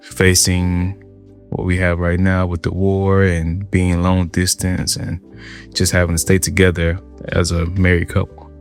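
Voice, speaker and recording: A man speaks in a low, deep voice. He talks earnestly and heartfelt, repeating phrases for emphasis. Soft music plays under him. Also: completely flat delivery, measured pace. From Georgia, USA. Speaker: interviewee. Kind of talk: interview, personal story. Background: background music.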